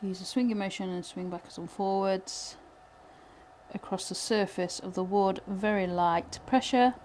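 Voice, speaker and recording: A chatty woman from Britain, thinking aloud through a live wood-burning lesson with plenty of ums and restarts. Her voice sits at an ordinary middle pitch and is getting slightly hoarse. A faint background hiss runs under it. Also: steady tone, moderate pace, a little mellow. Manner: chatty, self-deprecating, thinks aloud with many fillers. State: voice going hoarse. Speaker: pyrography instructor. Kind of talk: live tutorial chat while working.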